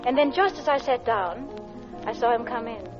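An actress playing an earnest, polite, middle-class English wife in an old-time radio drama, with a genteel, hesitant manner. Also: female voice, fairly high pitch, normal speed, lightly acted. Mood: wistful, confessional. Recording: muffled vintage radio recording with music.

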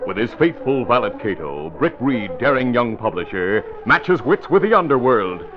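A man's voice: mid-range voice, sing-song, normal speed. A man announcing and narrating in dramatic, emphatic 1940s radio style, on a muffled old broadcast recording.

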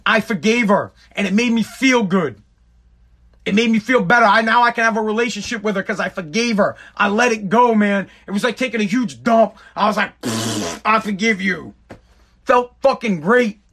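A man's voice: high voice, expressive, normal speed, fairly bright tone. A man giving an emphatic, profane monologue to his viewers, repeating himself for effect. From United States.

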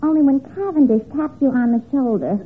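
A woman's voice: high-pitched, fairly quick, narrow, tinny audio, close to an everyday voice. An actress playing a chatty woman who hangs around a tavern, in 1940s radio comedy style. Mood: chatty complaining. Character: Miss Duffy, chatty female tavern regular. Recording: thin, slightly noisy old radio broadcast.

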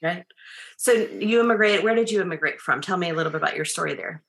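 A woman editor hosts an interview with a bright, forward voice pitched a little low. She sounds eager and encouraging, and laughs easily as she asks questions. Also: fast talker, gravelly. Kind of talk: interview conversation.

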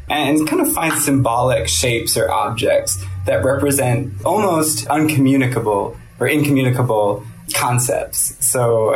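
A young man, an assistant editor, giving a lively spoken primer on a sculptor in a podcast conversation. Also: medium pitch, rough recording. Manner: lively, explanatory, with fillers. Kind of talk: explanatory conversation about art.

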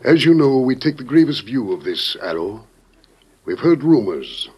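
Solemn dignified president, husky low voice, sweeping intonation, weighty classic radio oratory. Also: male voice, sing-song, normal speed, darkish tone. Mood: grave, commanding. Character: grave, dignified American president briefing a secret agent. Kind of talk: old-time radio drama acting.

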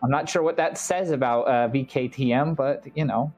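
A man's voice: medium pitch, normal speed, darkish tone, faint music behind. A man co-hosting a stream, chatting and reading aloud with dry humour. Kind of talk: casual chat and read-aloud.

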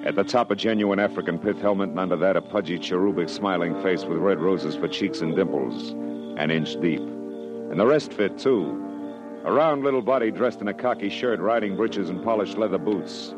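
An actor playing a tough, wry private detective, sardonic and clipped, in 1940s hardboiled radio drama style. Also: male voice, deep voice, normal speed, over music, natural voice, poor audio. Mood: wry, grim. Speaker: lead actor and narrator.

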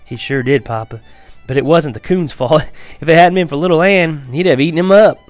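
A man's voice: medium pitch, moderate pace, darkish tone, no room sound, close to an everyday voice. A man voicing an eager, emotional farm boy in a storybook reading, earnest and prone to stammering, with music behind him.

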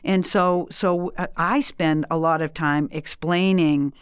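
Sixties woman, Boston accent, fairly low voice, dry close sound, animated and emphatic interviewee. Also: slow pace, clear, resonant tone, no echo. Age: 60s. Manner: emphatic, animated, laughs often. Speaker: interview guest. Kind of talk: energetic conversational interview.

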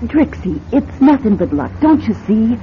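Troubled female psychic, earnest, self-doubting, very expressive pitch, muffled radio drama with faint noise. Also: normal speed. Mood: uneasy, insistent. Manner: earnest, protesting. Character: uneasy, self-doubting woman stage psychic. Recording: dull radio recording, faint background noise.

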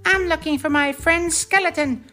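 A man's voice: very high voice, moderate pace, bright, forceful tone, faint music behind, pitched above the performer's own voice. A man voicing a small, eager goblin hero in a children's storybook, childlike and earnest. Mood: hopeful.

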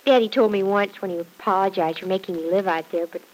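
A woman's voice: medium pitch, fast, darkish tone, close to an everyday voice, rough recording. A woman playing a cheerful, devoted young farm girl working as a housemaid in a classic radio drama, earnest and artless with folksy exclamations. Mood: wistful, earnest.

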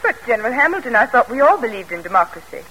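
An actress playing a poised, tactful young daughter of a politician in theatrical 1940s radio drama style. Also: female voice, fairly high pitch, big pitch swings, racing, sharp tone, full character voice, low-quality recording. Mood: firm, pointed. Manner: gracious, formal, theatrical. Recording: vintage radio broadcast, rough and worn.

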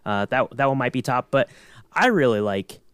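A man's voice: medium pitch, expressive, moderate pace, fairly bright tone, clean tone, dry recording. A man chatting casually on a fan podcast in an easygoing, somewhat hesitant way. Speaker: podcast panelist.

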